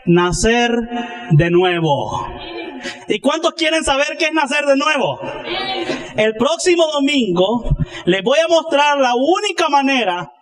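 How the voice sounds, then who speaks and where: A man's voice: extremely high pitch, very animated, a little slow, long pauses, fairly bright tone. A man delivers a sermon as a pastor. He speaks emphatically, building his points through rhetorical questions and answers addressed to his congregation.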